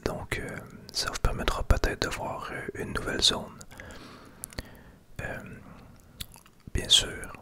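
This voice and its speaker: A Québécois man speaks entirely in a soft whisper, with almost no voiced tone. The sound is bright and sibilant. He guides viewers gently and without hurry through a video game, in the style of an ASMR gameplay commentary. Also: takes it slowly, pauses often. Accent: Québécois French. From Quebec.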